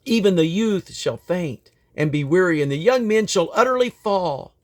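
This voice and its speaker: Higher-pitched man reading scripture solemnly. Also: animated, moderate pace, a little mellow. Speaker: Bible reader. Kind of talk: scripture reading aloud from the King James Bible.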